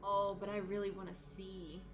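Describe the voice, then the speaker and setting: A woman doing casual let's-play commentary, expressive, dramatic and casually sweary as she talks through a game. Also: medium pitch, normal speed. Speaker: streamer playing and reading a visual novel aloud. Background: game music.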